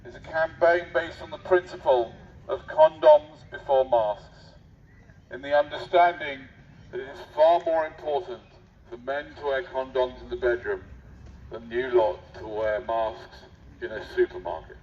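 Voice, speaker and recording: British man on a megaphone, deadpan, far off. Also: medium pitch, measured pace. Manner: deadpan, provocative, addresses passers-by directly. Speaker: street speaker with megaphone. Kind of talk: mock-serious public speech. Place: outdoor public park. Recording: dull megaphone outdoors, distant, faint noise.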